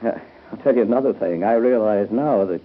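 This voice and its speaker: A man's voice: lowish voice, fairly quick, natural voice. An American man acting as an earnest doctor in a 1930s radio soap opera, explaining things with small hesitations. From United States. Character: earnest, determined male doctor explaining plans to family. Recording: muffled, tinny 1930s radio broadcast.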